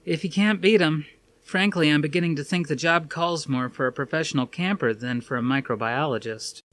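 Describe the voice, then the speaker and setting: A man's voice: a little high, fairly quick, a bit bright, pitched above the performer's own voice, lightly acted. A man voicing an anxious, practical woman scientist in a storybook reading, with an emphatic, exasperated way of asking things. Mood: wry.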